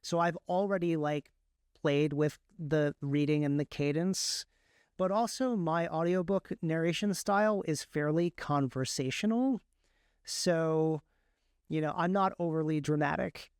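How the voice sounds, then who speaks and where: Guy guest on a podcast, really clean smooth voice, bit higher, explains stuff and keeps restarting his sentences. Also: a little mellow, no room sound, clean studio sound. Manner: halting, self-correcting, explanatory. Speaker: podcast guest, author and audiobook narrator. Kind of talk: conversational interview answers.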